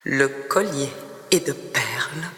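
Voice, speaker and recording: A woman poet reciting her own poem expressively, in measured phrases with breathy, hushed endings. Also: extremely low pitch. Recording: long reverb, noticeable background ambience.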